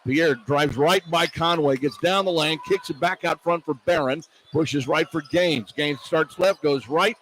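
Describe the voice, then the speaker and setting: Over arena noise, a man with a fairly high voice calls basketball live for radio. He moves through the action in short clipped phrases, drawing out words on the big moments. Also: a little slow, few pauses, a bit bright. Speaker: radio play-by-play announcer. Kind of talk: live basketball play-by-play commentary. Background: arena ambience.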